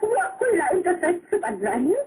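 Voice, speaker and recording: A woman's voice: very high voice, big pitch swings, moderate pace, darkish tone. A woman playing a distressed, accusing wife in a radio drama, tearful and confrontational. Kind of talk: Philippine radio drama acting in Cebuano. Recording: noisy radio broadcast recording.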